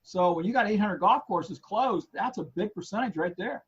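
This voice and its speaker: A man co-hosting a golf news show in casual chat, hoarse and losing his voice, rambling with many fillers and restarts. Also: high voice, lively intonation, normal speed, muffled recording, a little hiss or hum.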